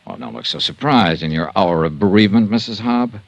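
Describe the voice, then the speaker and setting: An actor playing a tough, wry insurance investigator in 1950s radio detective style, clipped and sardonic. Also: male voice, fairly low pitch, fairly quick, gravelly, no room sound, lo-fi sound. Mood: sardonic, probing. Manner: clipped, hard-boiled, sardonic. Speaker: lead actor and narrator.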